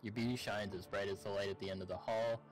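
A man's voice: fairly low pitch, fairly even intonation, quick pace, soft background music, poor audio. A man talking casually as a co-op game partner, describing things as he plays. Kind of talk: casual chat, describing puzzle symbols.

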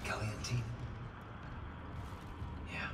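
An actor playing a weary, resolute spaceship engineer in a video game, talking in terse, grave lines. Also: male voice, slow, lightly acted. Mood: subdued, sombre. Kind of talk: video game drama acting. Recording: slightly distant, under loud music. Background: loud music, vehicle rumble.

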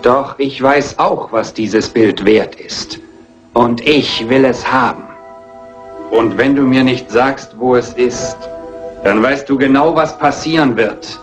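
Greedy male heir played by an actor: mid-pitched, menacing, sardonic, deliberate. Crime-film dub with clear music underneath. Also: natural voice.

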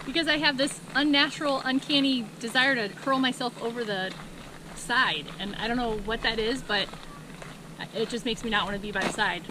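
Woman, 40s, soft and self-conscious, slightly high voice, handheld camera outdoors. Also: moderate pace. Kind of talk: casual chat. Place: outdoors on a forest trail. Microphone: action camera held at arm's length. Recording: clear outdoor noise.

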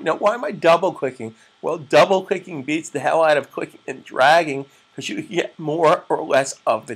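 Man, middle-aged, husky, gravelly, raspy strained voice, screen-recorded tutorial, patient explaining. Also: mid-range voice, fairly bright tone. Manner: plain, patient step-by-step explaining with frequent "okay?". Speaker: web design instructor.

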